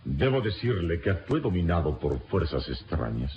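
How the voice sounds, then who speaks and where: An actor playing a calm, resigned adventurer hero in a radio serial, speaking in a measured, solemn, formal way. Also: male voice, deep voice. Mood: grave. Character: calm, resigned turbaned adventurer hero, confessing under interrogation. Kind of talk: old radio serial acting. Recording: dull, noisy old radio recording.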